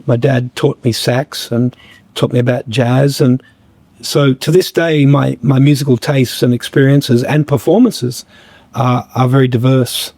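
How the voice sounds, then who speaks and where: Slightly croaky man, halting. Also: mid-range voice, steady tone, darkish tone, no room sound. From Australia. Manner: halting, many fillers and restarts. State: a bit croaky. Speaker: guest musician. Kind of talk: reflective podcast conversation.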